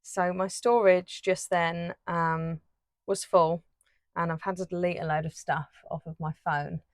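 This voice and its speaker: A woman chats away while painting, looping back with fillers and restarts. Her voice sits a touch low and sounds a bit croaky. The sound is dry and close, recorded on a phone. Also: flat delivery, a little slow, dry recording. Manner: rambling, chatty, many fillers and restarts. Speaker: art YouTuber. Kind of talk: casual chat while painting.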